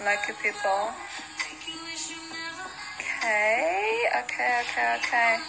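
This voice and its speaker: Young russian girl chatting to fans on her phone, laid back and slow, kinda high voice, music going in the background. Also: vocal fry, low-quality recording. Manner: relaxed, chatty, laughs often, answers comments one by one. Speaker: model livestreaming to fans. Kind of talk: casual live chat reading viewer comments. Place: living room of an apartment, indoors. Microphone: phone at arm's length. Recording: phone livestream with music behind. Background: music playing.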